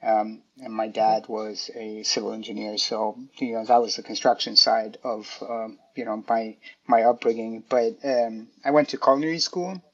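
A man's voice: fairly low pitch, steady tone, normal speed, few pauses. Hesitant man on dull call audio. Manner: hesitant, many fillers and restarts. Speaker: guest, design director. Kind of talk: interview answers.

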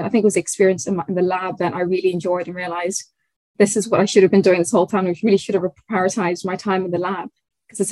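A young woman from Tanzania, a research fellow, answering interview questions in a thoughtful way, often pausing with fillers and restarting sentences. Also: medium pitch, mellow, dry recording, rough recording. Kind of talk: conversational interview.

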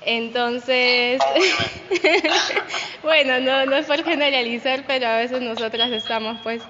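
A woman's voice: on the high side, fairly even intonation, slow, pushed and bright. A woman answering in an interview, hesitant and full of fillers. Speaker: interview guest. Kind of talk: conversational interview. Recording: dull sound with background noise.

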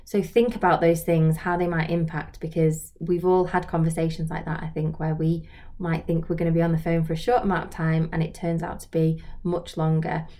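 A woman with a soft-edged, mellow voice that sits a little low. She talks as a trainer giving an informal lesson, explaining things reflectively and often posing questions directly to the listener. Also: level tone, a little fast. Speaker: social work trainer and video host. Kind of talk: informal instructional talk to camera.

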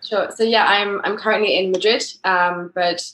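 A young woman with an English accent, answering interview questions about her startup in a clear, explanatory way. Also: mid-range voice, fairly even intonation, normal speed, fairly bright tone, reverberant, rough recording. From England. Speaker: startup co-founder and CTO.

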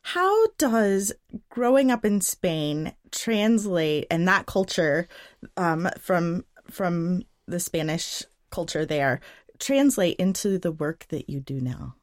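A woman's voice: fairly low pitch, expressive, slow, dry recording, studio quality. A woman interviewing a film director, asking friendly questions and sometimes tripping over her words. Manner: friendly, slightly tongue-tied.

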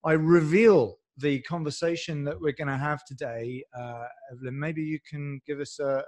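A man hosting a science podcast, talking enthusiastically and at length with many fillers and restarts. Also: fairly high pitch. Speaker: podcast host. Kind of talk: casual podcast interview.

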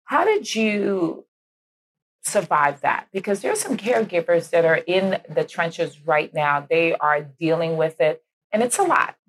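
An enthusiastic woman hosting a podcast, her voice sitting a little low. She is effusive and quick to affirm, and she often circles back to repeat her own phrases while asking questions. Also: very animated. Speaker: podcast host. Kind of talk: warm-up intro and interview questions.

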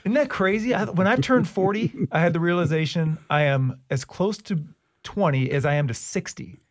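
A man's voice: on the high side, moderate pace, no room sound, studio quality. A man in his forties co-hosting a podcast, chatty and joking. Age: 40s. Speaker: podcast co-host. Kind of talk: casual chat.

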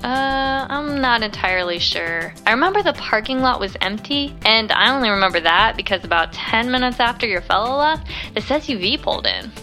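An actress playing a friendly, chatty young diner waitress in an audio drama, with an informal, chirpy manner. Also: female voice, fairly high pitch, animated, brisk, sharp tone, faint music behind. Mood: chatty recounting. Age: young adult.

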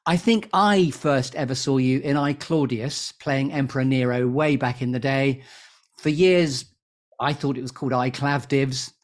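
A man's voice: mid-range voice, a little slow. A man hosting an interview show in an effusive, playful way full of wordplay. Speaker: podcast host. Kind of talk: interview with a scripted-sounding show intro.

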